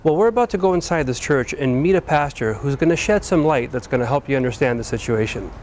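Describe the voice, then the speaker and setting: A friendly, energetic man in his thirties hosts a casual on-location interview. His voice sits at a middle pitch, and he chats freely with easy laughs. It is recorded on a lapel mic in an indoor church hall. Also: fast, a little hiss or hum. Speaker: TV host interviewer.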